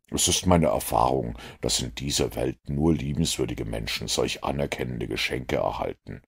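A man voicing a self-assured, analytical detective in an audiobook, with a gravelly, husky voice and a measured, lecturing manner. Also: rumbling low, heavily acted. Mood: smug, assured. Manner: measured, didactic, superior. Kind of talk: audiobook character reading.

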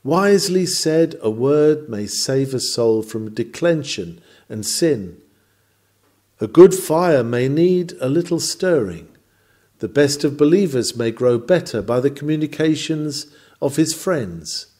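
A man's voice: mid-range voice, expressive, slow pace, darkish tone. A man reading a scripted sermon in an earnest, exhorting, oratorical manner. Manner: earnest, exhorting, rhetorical delivery. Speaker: sermon reader.

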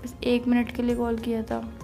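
Young female, Indian accent, highish pitch, casual couple's phone chat, teasing and defensive, faint music. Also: monotone, normal speed, vocal fry, warm tone. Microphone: phone call.

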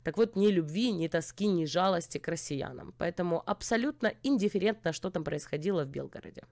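A woman's voice: fairly low pitch, lively intonation, brisk, darkish tone. A young woman from Ukraine, streaming a chat roulette argument in a sarcastic, lecturing way. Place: dim room with purple light.

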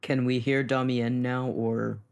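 A man's voice: mid-range voice, little pitch movement, unhurried, vocal fry. A man roleplaying in character in a tabletop game podcast, blunt and probing in the way he talks. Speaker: player in an actual-play podcast.

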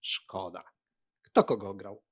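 An actor playing a cheerful, joking young country gentleman in an audiobook, with a jaunty, teasing manner. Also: deep voice, slow pace, slightly rough, full character voice. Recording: dull, lo-fi sound.

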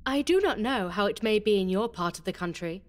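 A man voicing a cold, ironic young noblewoman in a storybook reading, in a raised falsetto. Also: lively intonation, brisk. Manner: cool, mocking, falsetto.

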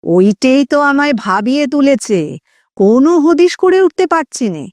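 An excitable, forceful man in an audio story, voiced by a woman, pushes his friend along with exclamations. The voice is high and lively, in a dry sound. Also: dry recording. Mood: excited. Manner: brisk, exclamatory, bossy. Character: forceful, impatient, excitable Bengali male friend.

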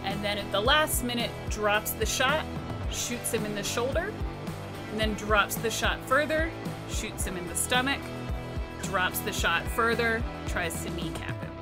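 A woman tabletop player narrates in measured, deliberate phrases. Her pitch is average for a woman. Loud music runs behind her voice. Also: animated. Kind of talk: narrating her character's actions in a roleplaying game.

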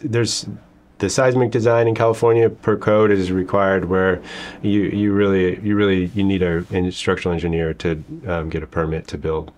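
A man's voice: low-pitched, flat delivery, normal speed, a little mellow. A middle-aged man answering as a structural engineer guest, giving brief, agreeable answers with hesitations, seated indoors in an office. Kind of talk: interview answers.

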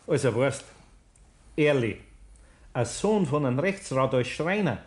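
A man's voice: medium pitch, expressive, unhurried, close to an everyday voice. An older man with a Bavarian accent playing a good-natured master carpenter in a 1960s German radio play, homely and hesitant. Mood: reluctant.

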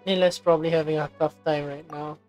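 A woman's voice: deep voice, fairly even intonation, moderate pace, over music. A woman giving casual gameplay commentary, reading dialogue choices aloud and reacting. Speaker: gamer, let's player.

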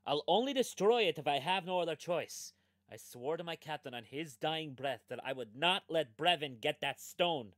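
A man's voice: fairly high pitch, animated, normal speed, a bit bright, no echo, clean studio sound. A man voicing an earnest, resolute young alien soldier in a video game, serious and emphatic. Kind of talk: video game cutscene acting.

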